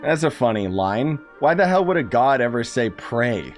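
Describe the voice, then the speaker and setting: A man's voice: medium pitch, big pitch swings, moderate pace, faint music behind. Sarcastic male game streamer, casual. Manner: exasperated, sarcastic, slangy. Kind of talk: casual live-stream commentary.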